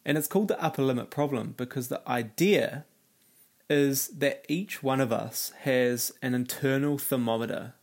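A man hosting a solo self-help podcast, talking in an earnest, motivational way while raspy and nasal from a cold. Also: mid-range voice, moderate pace, a little mellow. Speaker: podcast host.

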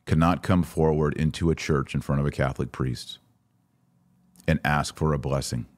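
A man's voice: a bass voice, steady tone, normal speed, no room sound. A man hosting a podcast of religious commentary, reading aloud and reacting in an emphatic, informal, argumentative way.

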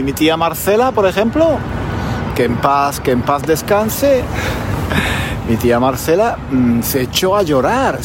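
A man with an accent from Spain telling stories conversationally as a language podcast host, deliberately repeating phrases for emphasis. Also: fairly high pitch, background noise. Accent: Spanish, from Spain. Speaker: podcast host, Spanish teacher. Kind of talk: conversational storytelling for language learners.

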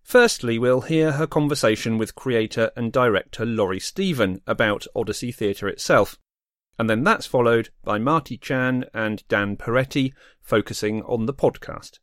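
A man's voice: medium pitch, normal speed, no room sound, studio quality. A man presenting a podcast with a scripted introduction.

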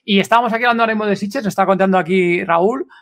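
A man's voice: sits high, rapid-fire, fairly bright tone. A man with an accent from Spain hosting a podcast in casual, informal chat, often repeating phrases. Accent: Spanish from Spain. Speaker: podcast host.

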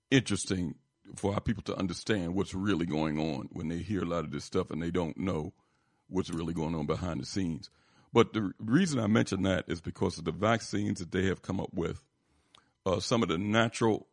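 A man hosting a talk show, putting questions to guests in a halting, rambling way. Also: sits low, creaky, no echo, clean studio sound. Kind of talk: interview questioning.

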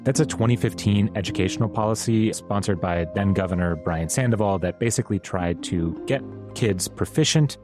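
A man hosting a podcast recap, reading smooth scripted narration. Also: lowish voice, moderate pace, over music. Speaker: podcast host.

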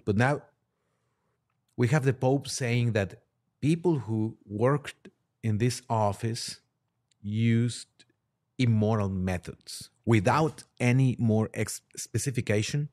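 A man speaking as a priest and podcast guest, halting as he discusses religion and poses questions. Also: medium pitch, darkish tone.

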